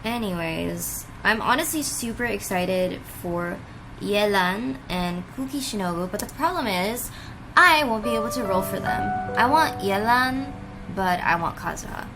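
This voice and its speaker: A woman's voice: medium pitch, lively intonation, measured pace, low-quality recording. A woman giving casual, chatty commentary as the creator of a reaction video. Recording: music behind the voice. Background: clear music.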